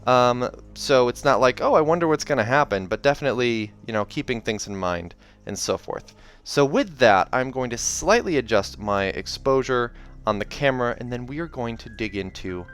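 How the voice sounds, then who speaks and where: A man's voice: mid-range voice, animated, moderate pace, a bit bright, clean tone. A man hosting in a casual, chatty, rambling way that often corrects itself, as he talks through and reads aloud a book. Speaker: host of a reading series. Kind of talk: casual video commentary and read-aloud. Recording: music behind the voice. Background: soundtrack music.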